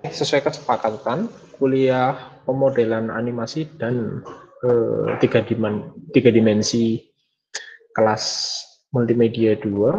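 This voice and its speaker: A man's voice: medium pitch, mellow. A young male lecturer talks through an online class wrap-up, hesitating and restarting often. His mid-range voice comes through a dull, lo-fi call line. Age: 20s. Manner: hesitant, many fillers and restarts. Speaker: university lecturer. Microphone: video call.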